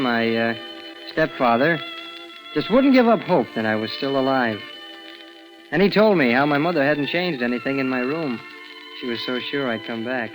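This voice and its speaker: Young man acting hesitant, boyish teen, animated. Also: mid-range voice, natural voice, low-quality recording. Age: young adult. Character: awkward, eager-to-please teenage boy back home. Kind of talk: 1940s radio drama acting. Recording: 1940s radio broadcast with music.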